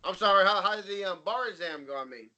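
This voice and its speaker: High, bright-voiced man bantering. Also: animated, fast. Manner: teasing, joking. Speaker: podcast co-host. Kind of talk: casual banter.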